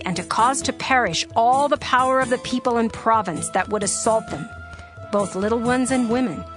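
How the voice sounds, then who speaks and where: A woman narrating scripture in a steady, formal storytelling cadence. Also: on the high side. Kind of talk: dramatized scripture reading. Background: soft music.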